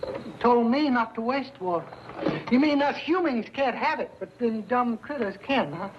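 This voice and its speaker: A man acting as a very high-voiced, boyish pioneer with an American accent. He is cheeky, folksy and wheedling, in old radio western style. The sound is muffled, with clear background noise. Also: expressive, normal speed. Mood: cheeky complaint. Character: boyish, cheeky bachelor pioneer who likes his whiskey. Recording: dull, noisy old radio recording.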